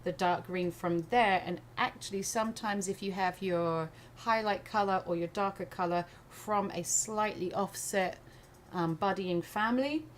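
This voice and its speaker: A woman's voice: medium pitch, unhurried, hardly any pauses. A woman from England with a blended English and American accent, casually thinking aloud as she hosts a live art tutorial. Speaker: art livestream host. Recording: noticeable background noise.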